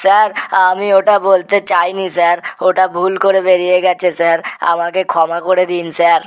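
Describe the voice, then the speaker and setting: A woman's voice: lowish voice, little pitch movement, normal speed, clean tone, lightly acted. A woman voicing a cocky, mischievous schoolboy in a comedy cartoon, eager and boastful. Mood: pleading.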